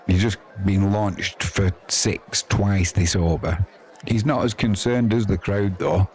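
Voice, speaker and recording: A man's voice: sits low, steady tone, slow pace, darkish tone. A man with an English accent giving casual cricket commentary in a chatty, dry-humoured, blunt and slangy way. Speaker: live sports commentator and host.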